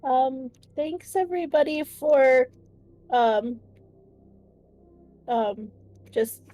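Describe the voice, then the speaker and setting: A woman's voice: high-pitched, expressive, extremely slow, no room sound, highs cut off, soft background music. A woman improvising casually in character as a tabletop game player, hesitant and searching for her words.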